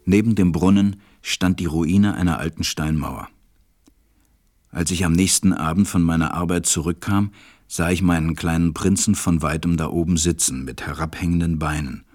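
A man's voice: low-pitched, moderate pace, a little mellow, no room sound, clean studio sound. A man narrating and acting in an audio drama as a tender, melancholy stranded pilot. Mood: pensive, melancholy.

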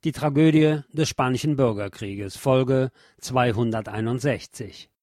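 A man reading scripted documentary narration in a measured, solemn, literary manner. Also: mid-range voice, darkish tone.

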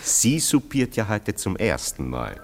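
A man's voice: on the low side, huge pitch swings, measured pace, gravelly, soft background music, exaggerated voice. An actor playing an amused male friend in a radio play, interjecting with dry, sardonic teasing in a reverberant room.

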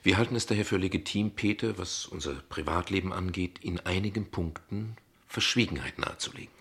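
A father in a radio drama, guarded and ironic. His voice is low, with plenty of fry and a husky edge. He phrases things formally and deliberately, keeping a dry, courteous defensiveness. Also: a bit bright, exaggerated voice. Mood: defensive, cool. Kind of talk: German radio play dialogue acting.

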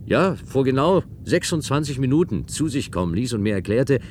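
Ein Schauspieler spielt im Hörspiel einen gewandten, flapsigen Regierungsreferenten, der höflich und ironisch plaudert. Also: male voice, medium pitch, big pitch swings, moderate pace, a little mellow, natural voice. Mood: explaining. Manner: breezy, ironic, chatty. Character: glib, flippant government aide, courteous and teasing. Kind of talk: German radio play acting. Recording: radio play with clear background noise.

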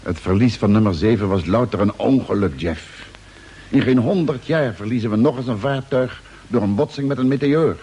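A man's voice: mid-range voice, normal speed, mellow, close to an everyday voice. An actor playing a matter-of-fact spaceship crewman in an old-style radio play. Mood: assured. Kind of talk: Dutch radio play acting, mid-century. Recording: noisy old radio drama recording.

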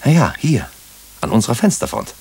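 An actor playing a wry doctor and amateur sleuth who also narrates a German radio drama, with a husky, gravelly voice and a dryly humorous manner. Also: male voice, mid-range voice, huge pitch swings, brisk, a little mellow, heavily acted. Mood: hesitant, confiding.

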